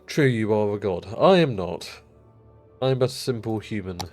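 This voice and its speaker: A man's voice: fairly low pitch, lively intonation, normal speed, darkish tone, faint music behind. A man streaming a city-building game, chatting casually and rambling, his nose blocked up because he just rolled out of bed.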